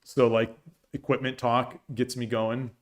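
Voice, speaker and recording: A man hosts a long live stream, rambling casually with frequent restarts and reading chat messages aloud. His voice sits in a middle register and has turned dry and hoarse from hours of talking. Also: medium pitch, little pitch movement, measured pace, a little hiss or hum. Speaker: shogi streamer.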